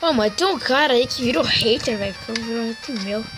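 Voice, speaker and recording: A young Brazilian woman with a hoarse, slightly high voice chats loosely over her gameplay, trailing off into slangy fillers. Game music plays clearly behind her. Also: expressive, fairly quick. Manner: rambling slangy talk, full of fillers. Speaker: gaming channel host. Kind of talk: casual gameplay commentary.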